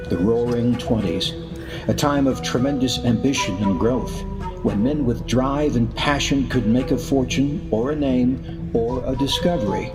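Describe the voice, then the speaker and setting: An actor playing the eerie host of a mystery radio show, narrating in a deliberate, dramatic old-time style. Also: male voice, medium pitch, lightly acted. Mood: grand, introductory. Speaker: announcer and narrator.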